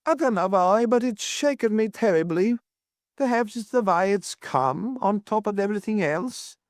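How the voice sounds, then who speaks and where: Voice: animated, normal speed, no room sound, studio quality, close to an everyday voice. A narrator voicing a fretful, sentimental landlady in an audiobook, plaintive and emphatic. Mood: shaken, mournful. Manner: plaintive, emphatic, high-strung. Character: fretful, sentimental, agitated middle-class landlady.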